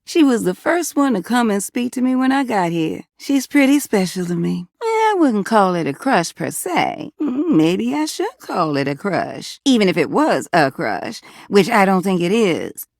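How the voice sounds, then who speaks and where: Teasing, gossipy Southern appliance character for a game: animated, sweet-talking, gravelly, close dry sound. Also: female voice, medium pitch, moderate pace, few pauses, no room sound. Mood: coy. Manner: sweet-talking, teasing, drawling terms of endearment. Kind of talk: video game character voice acting.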